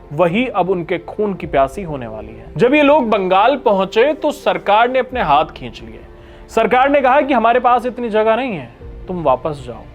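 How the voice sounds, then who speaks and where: A man with a notably high voice narrates a scripted documentary over background music. His storytelling is grave and emphatic, with dramatic weight on key phrases. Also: lo-fi sound.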